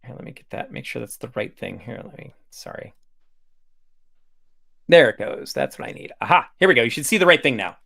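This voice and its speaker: A man's voice: medium pitch, very animated, a little fast, gravelly, fairly bright tone. A man hosting a casual livestream demo over a video call, chatty and full of fillers and restarts. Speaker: host demoing software.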